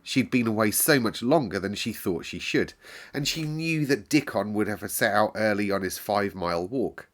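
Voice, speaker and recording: A man reading a classic children's novel aloud in a calm, measured storyteller's way. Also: fairly low pitch.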